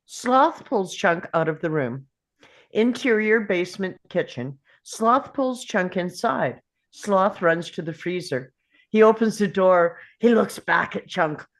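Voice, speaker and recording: A woman's voice: lowish voice, animated, takes it slowly. A woman reading screenplay stage directions as scripted narration at a table read.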